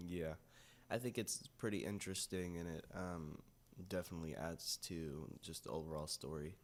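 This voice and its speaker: A man with a low-pitched voice chats casually as a co-host. He is laid-back and keeps his remarks short and agreeable. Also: fairly even intonation, moderate pace. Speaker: podcast co-host. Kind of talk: casual chat.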